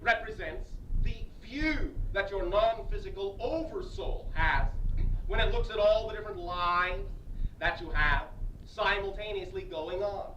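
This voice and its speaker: Guy doing an alien teacher lecturing, high bright voice, punchy staccato stresses, old cassette from far off. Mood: measured, instructive. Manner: emphatic, staccato, deliberate stresses and repetitions. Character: channeled alien entity, emphatic otherworldly teacher. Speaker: channeler addressing a live group. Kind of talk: improvised metaphysical lecture. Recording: echoey far-off 1980s cassette, faint hiss.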